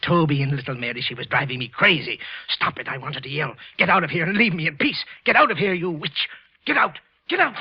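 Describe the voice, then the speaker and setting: An American actor playing a guilt-ridden office worker and murderer sliding into paranoid madness, stammering and repeating himself in a 1940s radio thriller. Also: male voice, fairly high pitch, expressive, brisk, slightly rough. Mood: bitter, unravelling. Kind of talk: 1940s American radio thriller acting, first-person narration. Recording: muffled old radio recording with music.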